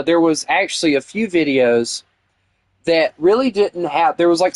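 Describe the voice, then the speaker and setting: A man's voice: on the high side, fairly bright tone, dry recording. A young man with a Southern US accent, chatting casually with viewers while gaming, rambling and opinionated with lots of fillers. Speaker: gaming streamer. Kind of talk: casual gameplay chat with viewers.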